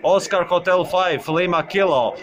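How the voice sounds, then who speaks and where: A man making a friendly amateur radio contact, halting and repeating his words. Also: sits high, crowd noise. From Croatia.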